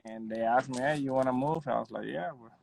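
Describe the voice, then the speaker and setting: A man in his thirties from Puerto Rico, a guest audio engineer chatting in a relaxed, modest way with soft laughs and lots of "you know". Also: mid-range voice, a little fast, creaky, slight background noise, low-quality recording. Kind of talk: casual interview chat.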